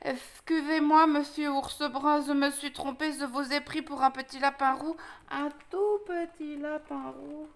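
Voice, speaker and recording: A woman acts out a big bad storybook wolf with a lisp and a high-pitched voice. He is hungry and menacing, yet dim and easily fooled, stumbling clumsily over his words. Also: moderate pace, close to an everyday voice. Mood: apologetic. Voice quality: lisping.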